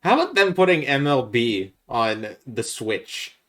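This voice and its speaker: Male podcast host, medium pitch, informal and explanatory, for casual video game chat. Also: big pitch swings, measured pace, a bit bright. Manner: explanatory, makes points step by step.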